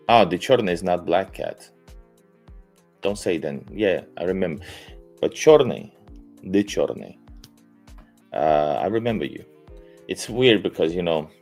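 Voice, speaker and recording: A man's voice: very deep voice, a little mellow. A man hosting a casual live stream, talking hesitantly with many fillers and restarts. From Finland.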